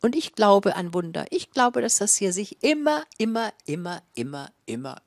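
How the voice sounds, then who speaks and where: A woman's voice: mid-range voice, sing-song, darkish tone, no room sound. A woman in her sixties telling stories live to a small audience, with a dramatic, savouring delivery, speaking into a handheld microphone. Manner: dramatic, savouring delivery with repetitions and drawn-out words. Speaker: fairy-tale storyteller. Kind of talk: live oral storytelling for an audience. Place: small indoor room with curtained stage and small audience. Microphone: handheld microphone held close.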